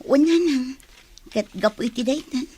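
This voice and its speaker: A woman's voice: sits high, moderate pace, mellow, breathy edge. A woman playing a modest, grateful young daughter and college student in an Ilocano radio drama. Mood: earnest, modest.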